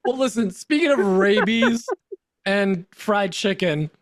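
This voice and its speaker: Guy, high voice that jumps around a lot, giggly storyteller, dry close mic. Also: lively intonation, slow pace, no echo. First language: Polish. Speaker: podcast co-host. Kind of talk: casual chat.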